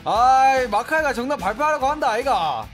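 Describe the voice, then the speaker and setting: Man doing a loud bossy Busan guy, put-on Busan dialect, high swooping voice, faint music behind. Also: sing-song, brisk, exaggerated voice. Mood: swaggering. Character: brash adult male Busan local hamming it up. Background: faint background music.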